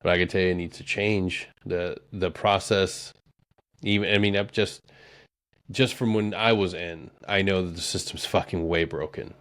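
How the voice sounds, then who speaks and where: Low-voiced blunt male podcast host. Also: moderate pace. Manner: blunt, profane, animated when riled. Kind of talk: casual interview chat.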